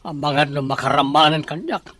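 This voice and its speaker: A man's voice: on the high side, normal speed, a bit bright, no echo. A man with a Filipino accent playing a stern, critical middle-aged father in an audio drama.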